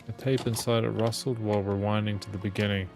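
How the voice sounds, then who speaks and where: A man reading a visual novel aloud as a gaming host, in measured storytelling with occasional stumbles. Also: on the low side, little pitch movement. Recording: game music behind the voice.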